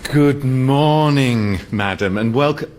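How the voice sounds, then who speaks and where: A man's voice: medium pitch, slow pace, heavy background noise, lightly acted. An actor playing an anxious, self-important English airline captain in his thirties in a radio sitcom, stammering and defensive. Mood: nervous, formal. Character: anxious, pompous, flustered young airline captain.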